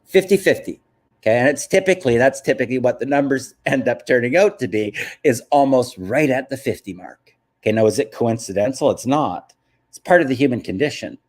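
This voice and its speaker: A man's voice: mid-range voice, lively intonation, a little fast. A man hosting a live stream, answering viewers and stressing points with frequent "okay?" check-ins. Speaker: host of a live business stream.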